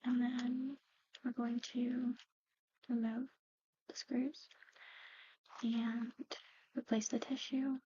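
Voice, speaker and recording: A woman performing a whispered ASMR medical roleplay, explaining procedures softly in an unhurried, breathy voice on a slightly muffled recording. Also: a little high, completely flat delivery, noisy background. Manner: hushed, unhurried, gently explaining.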